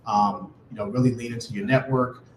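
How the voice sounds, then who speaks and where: A man's voice: mid-range voice, fairly even intonation, fairly quick, background noise. A man speaking casually as a panelist, filling his talk with "you know" and "right". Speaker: panelist entrepreneur. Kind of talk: panel discussion answer. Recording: echoey room.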